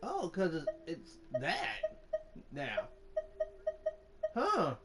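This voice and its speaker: A woman's voice: lowish voice, huge pitch swings, very slow. A woman gaming on her channel, chatting casually and reading out dialogue choices as she plays. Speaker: gameplay streamer. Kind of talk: casual game playthrough, voicing dialogue choices. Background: loud game music.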